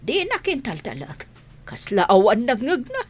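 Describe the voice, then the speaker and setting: A woman's voice: fairly high pitch, wildly swooping pitch, unhurried, darkish tone, husky, exaggerated voice. A woman with a Filipino accent playing an exasperated older mother, complaining, in a radio drama.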